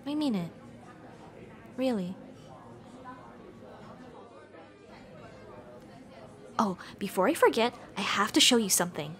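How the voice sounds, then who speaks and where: A woman's voice: mid-range voice, close to an everyday voice. A young woman playing an affectionate, playfully bossy café-owner girlfriend in an ASMR roleplay, speaking expressively to the listener as her partner. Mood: grateful, fond, then playful, excited. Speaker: roleplay voice actor.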